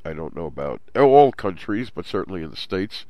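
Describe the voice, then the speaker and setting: A man's voice: low voice, very animated, fairly quick, no room sound, dull audio. A man with a stuffed-up, nasal voice hosting a Torah study program, explaining scripture steadily and mixing in Hebrew terms. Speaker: host. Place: Jerusalem.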